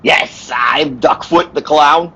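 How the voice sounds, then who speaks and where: A man playing a sad, quietly intense circus clown in an old-time radio play, husky and solemn in a deliberate way. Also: on the high side, a little hiss or hum, full character voice. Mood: meek.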